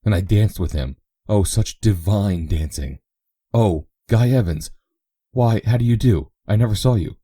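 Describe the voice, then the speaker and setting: Guy doing a bubbly excitable young woman, gushing nonstop, husky underneath. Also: lowish voice, no room sound, studio quality, voice pushed up. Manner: breathless, rapid exclamations.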